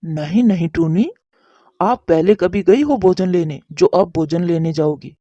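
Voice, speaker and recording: A woman's voice: fairly low pitch, unhurried, warm tone, no room sound, muffled recording. A woman voicing a caring, devoted male pigeon husband in a cartoon, with a concerned, reassuring manner. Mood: tender, reassuring. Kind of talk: Hindi cartoon voice acting.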